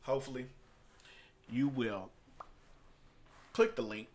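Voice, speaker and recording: A man's voice: medium pitch, expressive, takes it slowly, a little mellow. A man talking in a casual vlog review style, conversational and emphatic, repeating phrases to drive points home. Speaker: product reviewer and online marketer.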